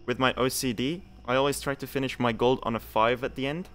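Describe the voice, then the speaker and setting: A man's voice: medium pitch, steady tone, fairly quick, a bit bright. Chatty young man from Belgium streaming. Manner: chatty, repeats himself, reads game text aloud. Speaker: game streamer. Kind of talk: casual live-stream commentary.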